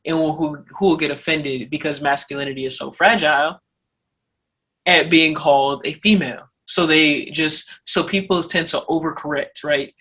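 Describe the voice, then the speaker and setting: A woman with a low-pitched voice that people often take for a man's, co-hosting a casual podcast chat and talking in a hesitant way, with many restarts and fillers. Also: fairly even intonation, moderate pace, a bit bright, slightly rough. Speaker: podcast co-host.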